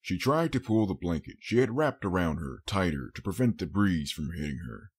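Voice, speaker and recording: A man's voice: sits low, sing-song, normal speed, husky. A man reading a scripted story as an audiobook narrator.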